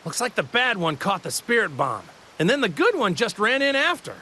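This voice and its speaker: A man playing a cheerful, naive, good-hearted fighting hero, boyish and excitable, in an anime game dub. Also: high-pitched, brisk, slight background noise, exaggerated voice. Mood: earnest. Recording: loud music behind.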